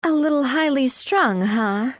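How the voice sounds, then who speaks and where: An actress voicing a friendly, confident young woman in a fantasy video game, expressive and conversational. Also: high-pitched, a little slow. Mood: musing. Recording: background music.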